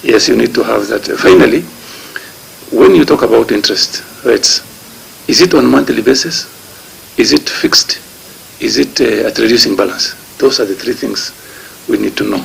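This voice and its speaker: Gravelly Kenyan senator questioning. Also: male voice, mid-range voice, slight background noise, low-quality recording. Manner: halting, stacks numbered questions. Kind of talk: questioning at a committee hearing. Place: parliament committee room.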